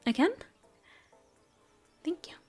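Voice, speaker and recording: A woman voicing a gentle, caring female elf ranger in an audio roleplay, speaking softly and often in a whisper. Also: slow pace, soft background music, close to an everyday voice. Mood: soothing. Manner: soft, soothing, often whispered. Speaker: voice actress. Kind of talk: audio roleplay, comfort ASMR.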